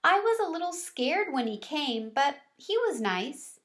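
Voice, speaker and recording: A woman voicing a timid, gentle female guinea pig from a children's storybook, soft-spoken and questioning. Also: sits high, big pitch swings, measured pace, voice pushed up, heavily acted. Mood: worried.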